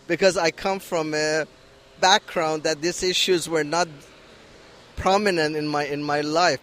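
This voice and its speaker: Young male activist, Iranian accent, halting and filler-heavy, recorded outdoors on a handheld mic with faint traffic behind. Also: fairly high pitch, fairly bright tone. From Iran. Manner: hesitant, many restarts and fillers. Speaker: activist at a rally. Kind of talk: street interview. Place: outdoors at a street protest. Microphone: handheld interviewer mic.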